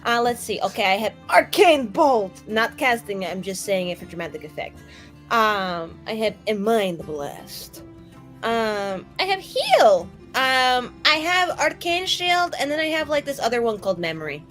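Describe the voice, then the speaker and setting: A woman's voice: fairly high pitch, sing-song, unhurried, a bit bright. A woman playing in a tabletop roleplaying show, chatting in a casual, improvised way. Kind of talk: casual improvised game chat. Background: faint music.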